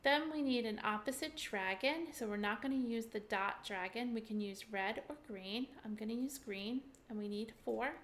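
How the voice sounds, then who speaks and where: A woman's voice: on the high side, normal speed, hardly any pauses, a bit bright, slight background noise. A middle-aged woman teaching a game on a webcam, walking through each step in an upbeat, chatty way with frequent ums. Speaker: mahjong teacher. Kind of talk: screen-share tutorial walkthrough.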